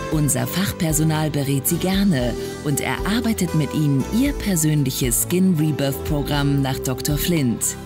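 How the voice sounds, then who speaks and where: An actress playing an inviting cruise ship announcer, reading a formal promotional spa message within a radio drama. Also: female voice, low voice. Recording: clear music behind.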